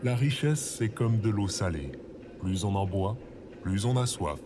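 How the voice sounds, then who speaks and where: Solemn, sententious sage. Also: male voice, on the low side, lively intonation, unhurried, background noise. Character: sententious male companion dispensing proverbs, flatly wise. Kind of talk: scripted French audio fiction acting.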